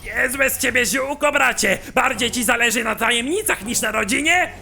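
Streetwise estranged brother for a cartoon dub , man acting: high, gravelly, sharp voice, slangy and sarcastic, music behind. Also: normal speed, full character voice. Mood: bitter, furious. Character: brash, hurt, streetwise estranged twin brother, hot-tempered. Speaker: fan dub voice actor.

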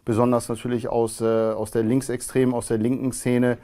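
A man in his thirties, a guest in a studio interview, answering in a calm, measured way with frequent hesitations. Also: medium pitch. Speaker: interview guest, former neo-Nazi. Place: studio with grey backdrop.

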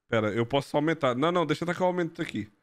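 A man's voice: a little high, animated, very fast. A man in his thirties with a European Portuguese accent, hosting a live stream in casual, joking chat, swearing freely and laughing often, on a headset mic at home. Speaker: streamer host. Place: home streaming room, indoors.

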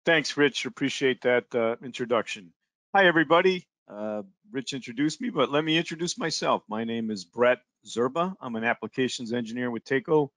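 A man opening an online training webinar in a friendly, informal way, hesitating with fillers. His voice is mid-pitched and sounds dry and close. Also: a little fast, a bit bright, no room sound. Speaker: applications engineer, presenter. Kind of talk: webinar presentation.

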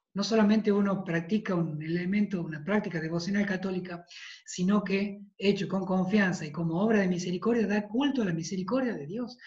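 A man's voice: sits high, a little mellow. Man, Tucumán Argentine accent, priest, emphatic repeated phrases, tired throat, roomy study. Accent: Tucumán Argentine Spanish. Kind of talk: devotional talk over video call. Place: study with bookshelves, indoors. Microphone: video call. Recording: roomy, dull video-call audio.

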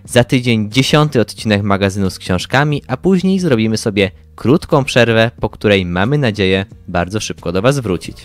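Low-voiced young male podcast co-host. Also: expressive, moderate pace, few pauses. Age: young adult. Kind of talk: prepared podcast presenting. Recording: music playing behind the voice. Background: background music.

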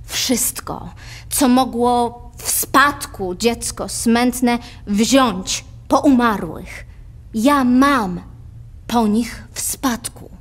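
An actress playing a proud, defiant teenage aristocratic girl in a verse radio play, solemn and deliberate. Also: on the high side. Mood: wounded pride. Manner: solemn, deliberate, poetic delivery. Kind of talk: theatrical verse drama acting.

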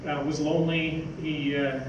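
An older man gives an informal talk, rambling a little as he goes. His voice is on the higher side. It is recorded from a distance in a very echoey hall, with clear background noise. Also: level tone, normal speed, lo-fi sound. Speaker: speaker, magazine editor. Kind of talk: informal live talk from notes. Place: theatre stage, indoors. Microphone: stage mic on stand, picked up by distant camera. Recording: roomy, noisy stage recording from far off.

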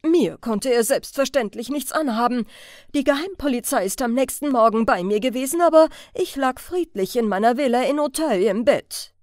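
A woman's voice: a little high, animated, normal speed, darkish tone, dry recording, clean studio sound, close to an everyday voice. A woman voicing a hot-tempered, boastful male master thief in an audiobook, scornful and emphatic. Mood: angry.

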